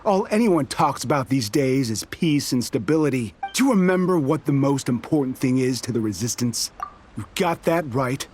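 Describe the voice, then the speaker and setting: A man's voice: mid-range voice, animated, normal speed, a little mellow, faint music behind, lightly acted. A man voicing a proud, distrustful, hot-headed resistance soldier in an anime game, with an emphatic manner. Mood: challenging. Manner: boastful, challenging, emphatic.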